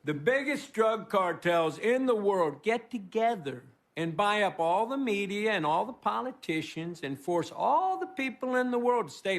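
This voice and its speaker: A man's voice: high voice, fairly bright tone. A man in a played-in comedy clip, spinning a mock story in a mocking tone.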